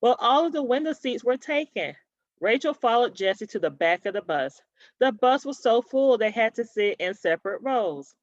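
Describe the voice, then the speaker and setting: A woman's voice: mid-range voice, no room sound, lo-fi sound. A woman reading a children's story aloud expressively, in the manner of a teacher.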